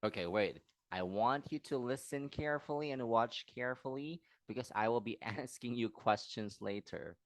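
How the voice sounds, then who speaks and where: A man's voice: medium pitch, moderate pace, few pauses, no room sound. A man teaching an English class over a video call, patient and encouraging, checking in with his students often.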